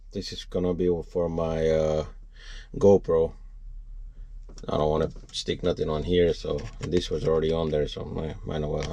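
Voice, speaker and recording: A man giving a casual, unscripted how-to for a vlog, rambling and thinking out loud as he works. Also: low-pitched, noisy background. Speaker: vlogger showing a DIY truck installation.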